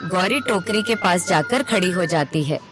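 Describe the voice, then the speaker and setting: A woman's voice: fairly low pitch, moderate pace, over music. A woman narrating a scripted moral story as its storyteller.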